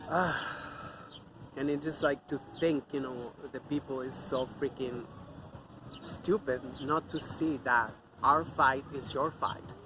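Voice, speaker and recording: A man's voice: mid-range voice, steady tone, slow, background noise. Angry man ranting outdoors. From Mexico. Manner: angry, profane, scornful. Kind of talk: off-the-cuff ranting monologue.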